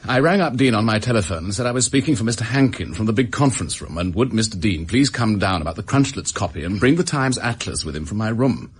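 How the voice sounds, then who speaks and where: An actor with an English accent playing a desperate, bitter office worker making a halting confession in a radio drama. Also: male voice, fairly low pitch, animated, husky. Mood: bitter, defiant.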